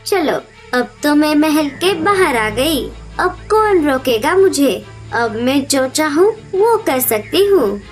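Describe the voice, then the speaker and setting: A very high, slow-paced cartoon voice acted by a woman of a spoiled little bird princess. She is whiny and headstrong, with music playing behind her. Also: very animated, heavily acted. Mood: bored, whiny. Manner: petulant, whiny. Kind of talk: Hindi children's cartoon dialogue.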